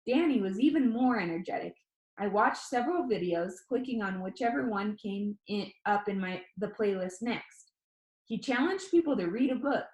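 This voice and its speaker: A woman reading a children's novel aloud as expressive first-person audiobook narration. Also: mid-range voice, echoey room, poor audio. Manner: expressive read-aloud storytelling.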